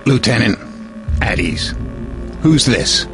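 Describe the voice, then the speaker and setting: An actor playing a gruff, exasperated army colonel in an audio drama, gravelly and barking with sarcastic authority. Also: male voice, medium pitch, sing-song, slow pace, over music.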